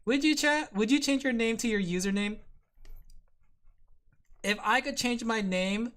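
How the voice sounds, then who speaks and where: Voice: medium pitch, measured pace, a bit bright. Giggly streamer chatting while drawing. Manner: giggly, playful, talks to chat. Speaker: vtuber streamer. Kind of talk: casual livestream chat while drawing.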